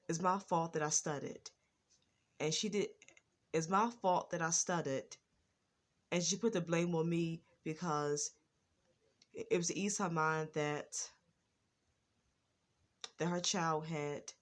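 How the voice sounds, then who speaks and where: Woman vlogger, stutters, slow and repetitive delivery. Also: sits low. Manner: repetitive, circling back over the same phrases. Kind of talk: personal testimony vlog.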